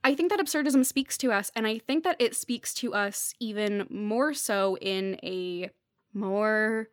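A woman's voice: mid-range voice, measured pace, no room sound, studio quality. A woman speaking as a podcast guest, giving thoughtful conversational commentary in an earnest, reflective and emphatic way.

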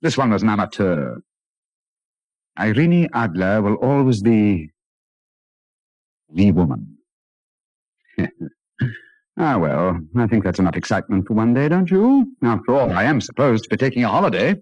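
An actor playing a clever, self-assured British detective in a 1940s radio drama, urbane and theatrical, with a hesitating drawl. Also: male voice, on the low side, sing-song, normal speed, long pauses, a little mellow, dry recording, muffled recording. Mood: dry, wistful.

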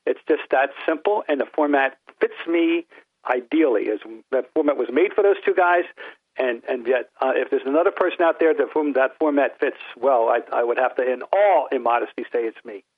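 A man's voice: mid-range voice, a little fast, dry recording. Older new england guy on a tinny phone line, rambles, keeps restarting sentences, dry sound. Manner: rambling, many restarts and repeated words. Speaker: guest sportswriter. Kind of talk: casual podcast interview.

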